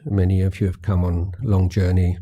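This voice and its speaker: Male meditation guide. Low, even pitch, measured and gentle retreat talk, unhurried phrases with long pauses. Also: husky. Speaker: Buddhist meditation teacher.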